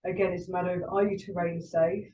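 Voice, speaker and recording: A woman's voice: fairly low pitch, little pitch movement, fast, dark tone. A British woman, an air traffic controller, explaining things in an informal class in a plain-spoken, reassuring way. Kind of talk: informal classroom teaching and discussion. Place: indoor ground school classroom. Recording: roomy, dull, distant, noisy classroom recording.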